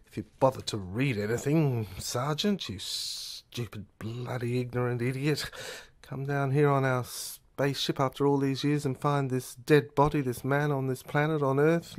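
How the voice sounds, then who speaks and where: A man's voice: on the high side, natural voice. An irascible police superintendent in an audio drama, acted by a man, lectures at length. He is erudite and scornful, stumbling and rambling through his explanations as he talks down to a subordinate. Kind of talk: amateur audio drama acting.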